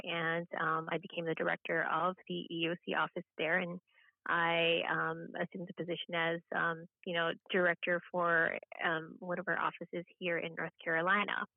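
Middle-aged American woman, creaky and even-toned, halting conversational guest; slightly dull, dry recording. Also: on the low side, dry recording. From Hawaii, United States. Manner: halting, with frequent "you know". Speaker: podcast guest, government official. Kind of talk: conversational interview introduction.

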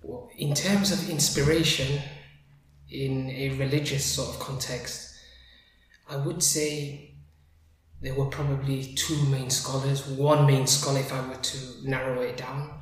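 A man from Sheffield, England, speaking thoughtfully as a podcast guest and Islamic sheikh, with Arabic phrases and pauses. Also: medium pitch, steady tone. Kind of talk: reflective interview talk with Arabic phrases. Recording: very echoey room, faint noise.

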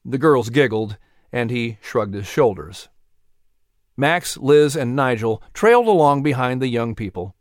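A man narrating a children's audiobook in an expressive storytelling manner. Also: medium pitch, measured pace, dry recording, clean studio sound.

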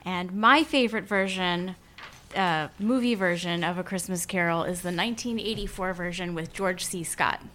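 A woman's voice: fairly low pitch, normal speed, a bit bright, slight background noise. A woman radio guest introducing herself casually.

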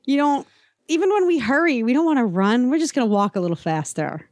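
A woman's voice: sits high, lively intonation, brisk, darkish tone, no room sound. A woman co-hosting a podcast in casual chat, with a playful, joking way of talking. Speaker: podcast co-host.

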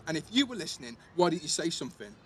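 A man's voice: fairly high pitch, big pitch swings, racing, a little hiss or hum. A young man playing a defensive, sweary teenage schoolboy in a naturalistic audio drama, with an East Midlands English accent and a blunt, slangy delivery. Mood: indignant. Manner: blunt, slangy, full of tag questions.

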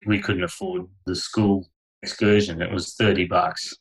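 A man's voice: sits low, little pitch movement, measured pace, rough recording. A middle-aged man, a podcast guest, telling his life story in a frank, meandering way, full of fillers and short laughs. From Australia. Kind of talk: candid conversational storytelling.

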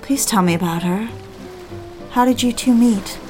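A woman playing a romantic, probing newlywed wife in a period audio drama, earnest and gently pleading as she questions. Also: fairly high pitch, moderate pace, soft background music, lightly acted.

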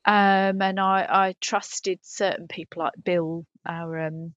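A woman's voice: medium pitch, fairly even intonation, slow, fairly bright tone, dry recording, highs cut off. Woman co-hosting a podcast, close dry sound. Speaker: podcast co-host. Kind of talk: casual comic chat.

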